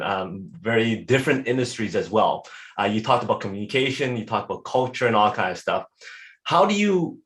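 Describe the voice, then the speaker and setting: A man's voice: mid-range voice, fairly bright tone. A man hosting an interview, asking long, rambling questions in an encouraging way with many fillers. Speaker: interview host. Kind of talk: interview conversation.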